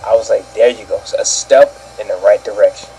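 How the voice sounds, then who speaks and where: A man's voice: lowish voice, fast, gravelly, warm tone, low-quality recording. A man giving his opinions as a panelist in casual, colloquial talk, often quoting his own reactions. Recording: background ambient noise.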